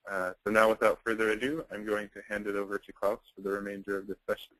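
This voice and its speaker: Lower-voiced man hosting a webinar. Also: fast talker, no echo, poor audio. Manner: scripted, even introduction with some fillers. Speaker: webinar coordinator and host. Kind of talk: reading housekeeping notes. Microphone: computer mic on a webinar call.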